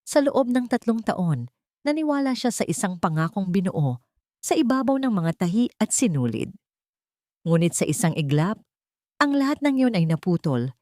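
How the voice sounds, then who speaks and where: A woman's voice: medium pitch, big pitch swings, normal speed, warm tone, dry recording, studio quality. A woman reading a scripted, dramatic story as its narrator.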